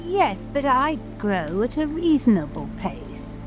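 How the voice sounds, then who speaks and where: A woman's voice: high voice, very animated, soft background music. A woman voicing a drowsy, grumbling little dormouse in a storybook dramatization, complaining sulkily. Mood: sulky. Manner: slow, complaining. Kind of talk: dramatized audiobook.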